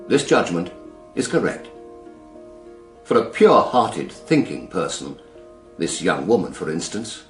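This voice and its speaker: An actor playing an earnest defence lawyer in a radio drama, giving a measured, rhetorical speech in court. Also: male voice, fairly low pitch. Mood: grave, measured. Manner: measured, rhetorical, deliberate pauses. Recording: echoey courtroom, faint music.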